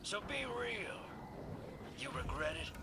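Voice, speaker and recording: Roguish man, lively high voice, unhurried, noisy audio. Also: heavily acted. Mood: wry. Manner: wry, drawling, teasing. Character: wry roguish male drifter.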